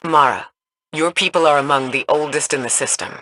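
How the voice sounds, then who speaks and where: An actress playing a composed, firm military mentor in a video game, earnest and authoritative. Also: female voice, sits low, fairly quick, background noise, close to an everyday voice. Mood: serious.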